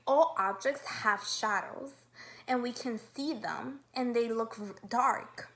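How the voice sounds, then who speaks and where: Bright, high-voiced woman teaching young children. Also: slow pace. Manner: upbeat, instructive, asks guiding questions. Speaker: kindergarten teacher. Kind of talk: read-aloud lesson for young children.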